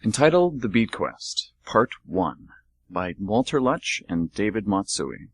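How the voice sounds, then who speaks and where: A man co-hosting an amateur radio theatre show, reading mock-epic narration in a solemn, deliberate way. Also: lowish voice, big pitch swings, breathy edge. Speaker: radio theatre co-host and performer.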